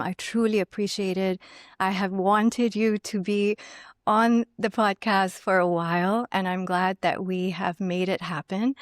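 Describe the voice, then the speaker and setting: A woman's voice: mid-range voice, no room sound, studio quality. A woman hosting a podcast interview, gracious and effusive in welcoming her guest over a video call. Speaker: podcast host.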